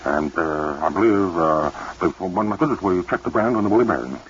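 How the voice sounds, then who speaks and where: A man playing a rambling, hesitant folk weather forecaster in a deadpan comedy interview sketch, halting and repeating himself. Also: low voice, natural voice. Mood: muddled earnest. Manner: halting, muddled, repetitive. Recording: hissy dull vintage radio recording.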